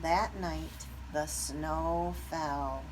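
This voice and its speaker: A woman narrating a children's story aloud with expressive, deliberate phrasing. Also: low-pitched, far from the mic. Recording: background noise.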